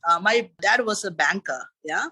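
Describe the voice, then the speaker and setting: Man, Sri Lankan accent, thirties, bright tone, rambling casual storytelling, podcast guest. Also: high-pitched, lively intonation, moderate pace, husky. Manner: rambling, repeats "and after that" and "you know". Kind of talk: casual interview chat.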